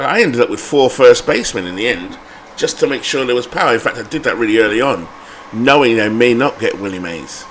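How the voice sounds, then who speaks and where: A man's voice: mid-range voice, fairly bright tone, slight background noise. A British man hosting a baseball sim game channel, chatting casually and rambling with fillers and self-corrections.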